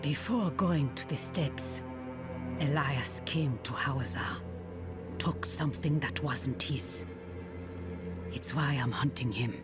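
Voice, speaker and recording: An actress playing a solemn, determined hunter in a dark fantasy game, speaking deliberately. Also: female voice, extremely low pitch. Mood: purposeful. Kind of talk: dramatic video game dialogue. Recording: dramatic game music underneath.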